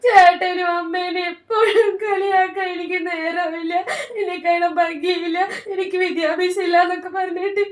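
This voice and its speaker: A woman's voice: extremely high pitch, steady tone, normal speed, echoey room, distant mic. A woman playing a young married daughter who pleads with her mother on the phone through sobs. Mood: sobbing, despairing. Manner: sobbing, pleading. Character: young married daughter, despairing, mocked by in-laws.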